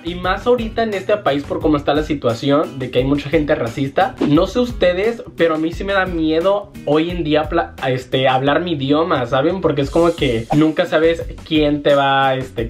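A young Mexican man with a somewhat high voice vlogs from a small bedroom. He talks in a lively, slangy way and gestures a lot. Faint guitar music plays underneath. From Mexico, Jalisco. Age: young adult. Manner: animated, lots of hand gestures, slangy, puts on quick voices for quoted people. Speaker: vlogger. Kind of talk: casual chat to camera. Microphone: fixed camera at desk.

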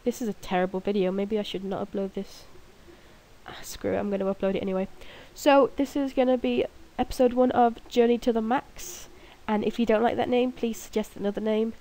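A woman's voice: fairly high pitch, steady tone, a little fast, warm tone. Bunged-up young woman rambling over gameplay. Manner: rambling, self-mocking, giggly. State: blocked nose from a cold. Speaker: gaming video creator. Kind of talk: casual gameplay commentary. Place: room at home, alone at night.

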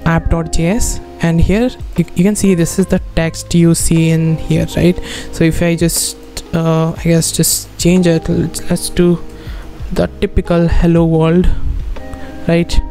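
A young man talks through a screen-recorded tutorial in an even, mellow voice. He is informal and often checks in with a short tag question. Faint music plays behind him. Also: fairly high pitch, unhurried. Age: 20s. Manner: informal, often tagging statements with "right?". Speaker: tutorial host. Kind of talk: casual spoken screen-recorded coding tutorial. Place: indoor room at a computer.

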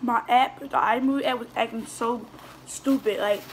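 A woman's voice: a little high, steady tone, moderate pace, slight background noise, poor audio. A teenage girl chatting casually to her viewers while eating, rambling through questions with lots of fillers and restarts. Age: teens. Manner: talks while eating, mouth sometimes full. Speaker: vlogger. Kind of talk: casual mukbang chat answering viewer questions. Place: small indoor room at a table. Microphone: phone camera on the table in front of her.

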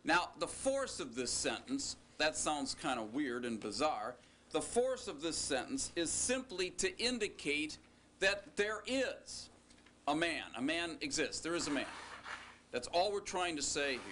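Male teacher, slow and deliberate delivery, joking asides, bright tone, faint hiss behind. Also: a little high, very animated. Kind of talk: recorded classroom lecture.

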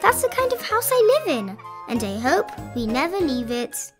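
Female read-aloud narrator for children's rhyming books, high voice, playful and very lively, music underneath. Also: normal speed. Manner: expressive, playful delivery. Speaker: children's picture book reader.

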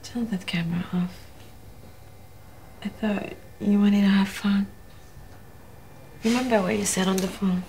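Woman doing a gravelly teasing flirt, slow, noisy recording. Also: medium pitch, steady tone, dark tone, natural voice.

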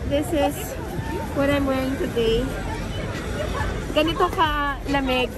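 Excitable Filipino woman vlogging a crowded market. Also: sits high, unhurried, very noisy. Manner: excitable, exclaiming, narrating to camera. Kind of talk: casual travel vlog, mixing Tagalog and English. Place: outdoor Christmas market at night. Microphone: handheld camera at arm's length. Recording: outdoor crowd and music behind. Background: music and a busy crowd.

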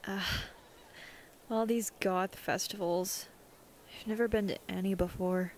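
Woman playing a chill young goth girl, talks slow, medium pitch, faint noise behind. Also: fairly even intonation, darkish tone, lightly acted. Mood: friendly. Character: laid-back, friendly young goth girl student. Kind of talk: ASMR roleplay acting.

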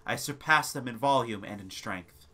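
Bright-voiced man narrating dramatically. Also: mid-range voice, normal speed, slightly rough. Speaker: narrator of a gothic short story. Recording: noisy ambience sound bed. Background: background ambience and sound effects.

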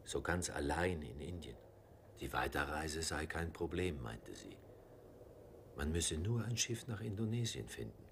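An actor playing a gentle, trusting Carthusian monk who recounts his journey in a calm, reflective way in a dubbed film. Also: very deep voice, measured pace, gravelly, noisy background, full character voice.

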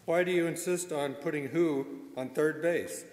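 A man's voice: fairly high pitch, normal speed, darkish tone. A man reading the straight part of a classic comedy routine live on stage, in a matter-of-fact way. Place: small indoor stage in a hall. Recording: echoey hall from a distance.

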